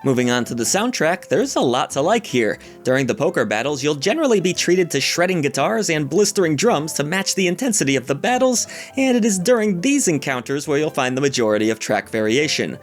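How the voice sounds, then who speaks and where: A man narrating a scripted video game review in a measured, lightly wry, opinionated way. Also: fairly high pitch, very animated, soft background music.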